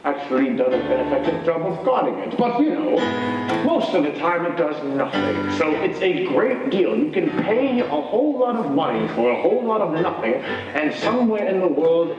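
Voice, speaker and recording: Man, lively up-and-down voice, deadpan rambling co-host, very echoey hall, distant. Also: fairly high pitch, dull audio. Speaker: convention show co-host. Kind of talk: comic stage banter. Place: large convention hall stage.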